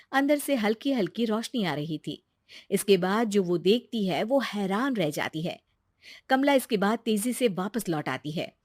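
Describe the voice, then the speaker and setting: A woman's voice: mid-range voice, a little mellow, no room sound, studio quality. A woman reading scripted narration for a children's moral story.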